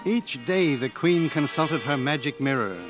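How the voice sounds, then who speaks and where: A storybook narrator played by a man with a slightly high voice. He tells the fairy tale in measured, dramatic old radio fashion, with music playing behind him. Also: natural voice. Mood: storytelling.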